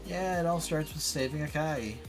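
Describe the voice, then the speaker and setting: A man's voice: on the high side, a little fast. Casual man commenting over game music. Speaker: streamer playing and reading a visual novel aloud.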